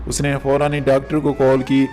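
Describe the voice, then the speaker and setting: A man's voice: medium pitch, monotone, moderate pace, warm tone. A man narrating a moral story for children as a storyteller. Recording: music behind the voice.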